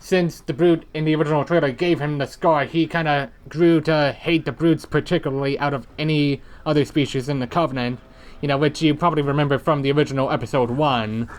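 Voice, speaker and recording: Chatty young man, smooth higher voice. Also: fairly quick. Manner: relaxed, rambling, heavy on "you know". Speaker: creator of an audio drama. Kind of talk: casual commentary chat.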